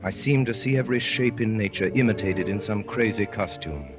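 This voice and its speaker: A man's voice: on the low side, brisk, natural voice. A man acting as an adventurer who narrates a wild chase in theatrical, declamatory 1930s radio drama style. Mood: hushed, wondering. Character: male adventurer recounting a wild chase, urgent, amazed. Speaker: narrator and lead actor. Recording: dull 1930s radio recording, music behind.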